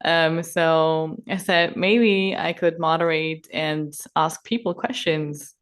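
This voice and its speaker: Young woman hosting a podcast, deepish smooth voice, talks slow and kinda hesitant. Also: steady tone. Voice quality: deep for a woman. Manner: soft-spoken, hesitant, with fillers. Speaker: podcast host. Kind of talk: casual interview talk.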